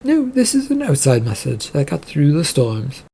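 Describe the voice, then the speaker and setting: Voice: medium pitch, huge pitch swings, moderate pace, gravelly, mellow, breathy edge, exaggerated voice. A narrator voicing a polite, formal ship's computer in a science fiction story.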